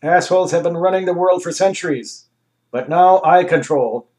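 A man's voice: high voice, darkish tone, pitched above the performer's own voice, close to an everyday voice. A man voicing a pompous talking anus who thunders commands like a ruler in a comic story. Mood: grandiose. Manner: grandiose, slow, commanding declarations. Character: pompous talking male anus tyrant, booming.

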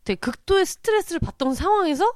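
A woman's voice: very high voice, expressive, fast talker, a little mellow, no echo, studio quality. A woman hosting a casual chat, playfully responding and finishing the other speaker's phrases. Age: 30s. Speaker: podcast host. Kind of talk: casual chat, reacting to a guest.

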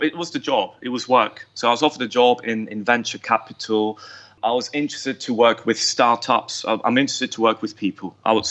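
A man from Switzerland, a company co-founder, chatting as a guest in a phone-in radio interview, self-deprecating and quick to laugh. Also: mid-range voice, fairly bright tone. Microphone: phone line. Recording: dull lo-fi phone line.

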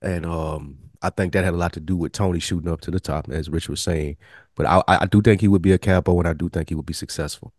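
A man's voice: low-pitched, fairly even intonation, fast talker, a little mellow, no room sound, studio quality. A man co-hosting a podcast in casual discussion, offering his views in tentative, considered phrasing. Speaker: podcast co-host.

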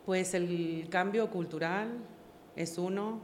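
A woman's voice: on the low side, takes it slowly, a little hiss or hum. A woman, a podcast guest telling her story, hesitant and searching for words. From Argentina. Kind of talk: casual interview chat.

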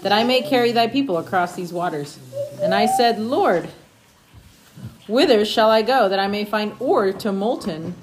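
A woman reading scripture aloud to her family at home, informally, with casual asides. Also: medium pitch. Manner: informal, breaking off to chat with children. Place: at home among family with children. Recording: noisy home recording with clicks.

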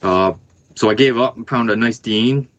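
A man hosting a podcast in casual chat, informal and a little halting as he works through details. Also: mid-range voice, a bit bright, lo-fi sound, a little hiss or hum. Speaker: podcast host.

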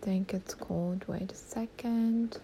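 A woman's voice: medium pitch, steady tone, a little slow, warm tone, a little hiss or hum. A young adult woman hosting a casual solo podcast, talking in a rambling way with many ums and restarts. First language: not German. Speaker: podcast host.

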